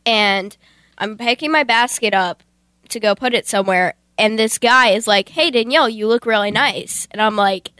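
A woman's voice: a little high, moderate pace, pushed and bright, clean tone, no room sound. A teenage girl on youth radio sharing a short personal story in casual, conversational speech.

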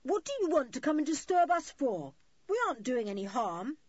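A bold schoolboy leader from a children's adventure story, performed by a woman. He speaks forthrightly with lively swings of pitch and a husky edge. The sound is a little dull. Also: moderate pace, dry recording. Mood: indignant. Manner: indignant, forthright.

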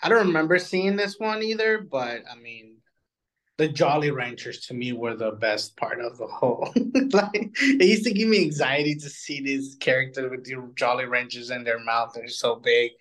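A man co-hosting in casual chat, tossing in short, jokey reactions and quick to laugh. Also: medium pitch, animated, a bit bright. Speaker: co-host of a cartoon countdown show.

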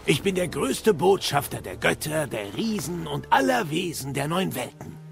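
An actor playing an ancient, boastful know-it-all captive in an audio drama, eloquent and sardonic. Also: male voice, a little high, sing-song, normal speed, vocal fry, exaggerated voice. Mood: boastful, explanatory.

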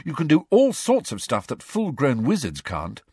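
A man voicing a clever, bossy teenage schoolgirl in an audiobook, with an earnest, coaxing manner and a husky edge. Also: medium pitch, huge pitch swings, normal speed, a little mellow, no room sound, studio quality, voice pushed up, full character voice.

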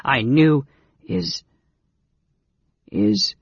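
A man voicing a frail, secretive young mage in a storybook, soft-spoken and halting, with an irritable snap. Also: mid-range voice, slightly rough, dry recording, lo-fi sound. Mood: dazed.

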